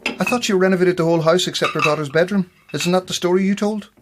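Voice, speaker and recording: A man's voice: high-pitched, fast talker, background noise, natural voice. An actor playing a sardonic, irritable man, a needling sceptic in a naturalistic audio drama. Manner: sarcastic, needling. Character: sardonic, irritable male sceptic named Edward.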